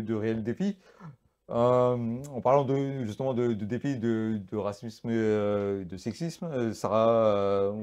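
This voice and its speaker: A man hosting a talk show, asking long, halting questions full of restarts. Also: medium pitch, warm tone.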